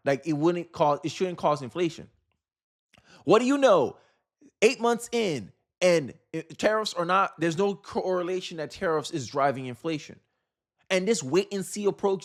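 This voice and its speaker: A man giving casual, opinionated political commentary in an incredulous, rhetorical way, repeating phrases for emphasis. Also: high voice.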